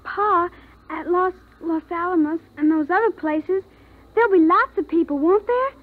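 An eager young girl pipes up in a very high voice, full of curiosity. The sound is a tinny old radio drama. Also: normal speed. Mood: hopeful, curious. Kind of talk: 1950s radio drama acting. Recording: tinny 1950s radio recording.

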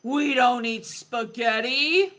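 A woman's voice: fairly high pitch, slow, fairly bright tone. A woman voicing a stern, confident lion teacher in a storybook, giving demanding instructions. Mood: stern, unimpressed. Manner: commanding, instructive.

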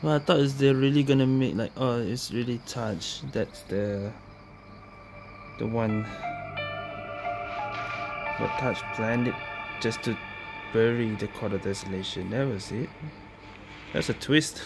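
Male gamer commenting over loud music. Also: medium pitch, measured pace, pauses often. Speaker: gamer recording a playthrough. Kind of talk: casual gameplay commentary. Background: loud game music.

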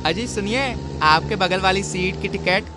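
A man's voice: sits high, measured pace. A man voicing a leering young bully in a cartoon, crude and taunting. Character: leering, bullying young male thug on bus. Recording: music behind.

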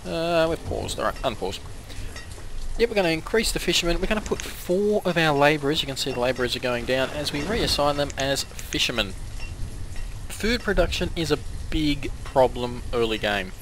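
A man giving casual commentary while playing a strategy game, hoarse and coughing now and then as his voice wears out, chatting to his villagers as he goes. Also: a little high, lively intonation, normal speed, noisy background. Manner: chatty, talks to the game's villagers. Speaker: gameplay commentator. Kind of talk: casual let's-play commentary.

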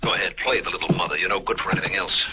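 An actor playing a bitter, selfish telepath, sneering and defiant in an old-time radio drama. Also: male voice, low voice, very fast, noisy background, lightly acted. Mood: scornful. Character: bitter, selfish, scornful male telepath turning dangerous. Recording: dull vintage radio recording, loud music.

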